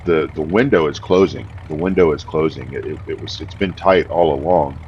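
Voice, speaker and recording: A man hosting a farming game, talking casually in a deep morning voice, easygoing and rambling with many fillers. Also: normal speed, lo-fi sound, slight background noise. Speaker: game host. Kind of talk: casual gameplay commentary.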